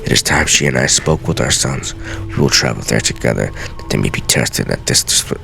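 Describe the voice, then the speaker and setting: An old master assassin, performed by a man, recites his journal with theatrical gravity. The voice is extremely low and thick with gravel, over clear background music. He sounds weary and solemn, measuring each phrase like a game's storyteller. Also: a little fast, sharp tone, exaggerated voice. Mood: grave, concerned. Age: older. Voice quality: very deep, gravelly.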